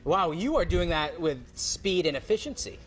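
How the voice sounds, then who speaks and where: A man hosting a live TV cooking segment, joking and jumping in with quick questions. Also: on the high side, lively intonation, fairly bright tone. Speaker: TV show host. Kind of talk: live morning TV chat. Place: TV studio kitchen.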